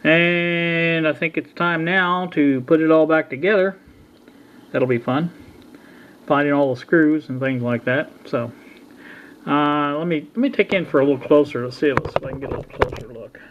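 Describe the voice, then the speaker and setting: A middle-aged or older man casually talking through a hands-on repair at a workbench, in a halting, folksy, unhurried way. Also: fairly high pitch. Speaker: hobbyist radio repair vlogger. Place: indoor workbench. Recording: dull lo-fi sound with background noise.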